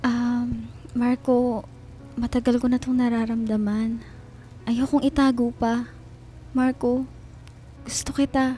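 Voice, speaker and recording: A woman's voice: on the high side, little pitch movement, mellow. A woman acting in a radio drama as an earnest friend confessing love for a married man. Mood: hesitant, tender. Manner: earnest, deliberate. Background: background music.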